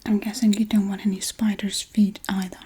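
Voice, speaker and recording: A woman playing a quirky, politely menacing Halloween witch in a breathy, soft-spoken ASMR role-play. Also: mid-range voice, level tone. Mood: matter-of-fact, chatty. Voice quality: breathy, mostly whispered. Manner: unhurried, chatty, politely menacing. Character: quirky Halloween witch casually preparing a human sacrifice.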